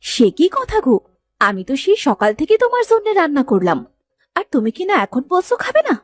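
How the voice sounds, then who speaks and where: A woman's voice: sits high, huge pitch swings, fairly quick. A woman playing a caring village housewife in a cartoon, fussing in a plaintive way. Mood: dismayed. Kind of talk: Bengali cartoon dialogue acting.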